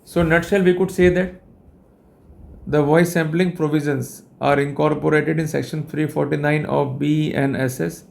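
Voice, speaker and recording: A man with an Indian accent and a slightly high voice delivers a legal lecture. His phrasing is formal and wordy, and he explains things like a teacher setting out rules. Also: level tone, normal speed, creaky. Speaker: legal educator and host.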